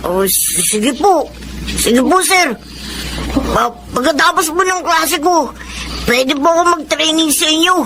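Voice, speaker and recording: An actor playing an eager, respectful schoolboy who dreams of boxing in a Filipino radio drama, polite and stammering. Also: male voice, extremely high pitch, expressive, a little slow, noisy background, full character voice. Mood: hesitant, respectful.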